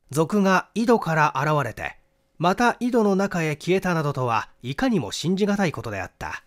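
Man, audiobook narration, mystery novel, slightly high voice, very varied intonation, theatrical, deliberate. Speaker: audiobook narrator and stage actor.

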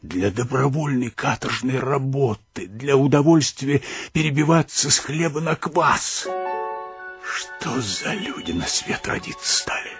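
An actor playing an aggrieved, baffled old provincial father in a radio play, with a husky, raspy voice. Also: mid-range voice, lively intonation, unhurried, a bit bright, soft background music. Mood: aggrieved, bewildered. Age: older.

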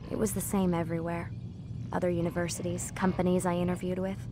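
A woman's voice: lowish voice, little pitch movement, normal speed, over music, lightly acted. An actress with an American accent playing an earnest, weary young woman scientist in a video game. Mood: reflective, earnest. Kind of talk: cinematic video game acting.

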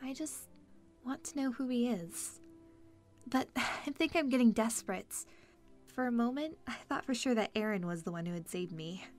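Woman acting a kind young princess, highish voice. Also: lively intonation, moderate pace, soft background music, natural voice. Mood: wistful, earnest. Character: kind, earnest young princess, wistful and lovestruck. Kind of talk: audio drama acting.

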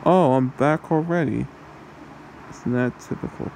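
Possessive demon husband, played by a man, ASMR roleplay: very slow, taunting, gravelly, faint noise behind. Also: mid-range voice, darkish tone, poor audio. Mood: mocking.

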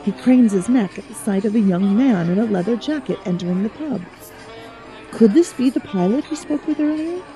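A woman narrating an audio drama in a measured storytelling manner. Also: fairly high pitch, lively intonation, dark tone, soft background music.

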